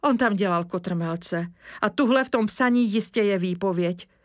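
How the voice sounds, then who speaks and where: A woman's voice: medium pitch, dry recording, clean studio sound, natural voice. A woman voicing a worried village mother who exclaims anxiously, in a storybook reading.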